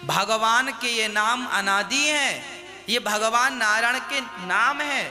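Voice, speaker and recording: A man's voice: extremely high pitch, slow pace, bright, forceful tone, in a large hall, soft background music. A man preaching a devotional sermon, scolding and questioning his listeners with rhetorical questions.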